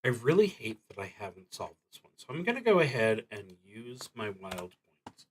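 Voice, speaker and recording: A man streaming a solo tabletop roleplaying game, thinking aloud in improvised commentary with a hesitant, halting delivery that trails off mid-thought. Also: lowish voice, very animated, slightly rough, poor audio.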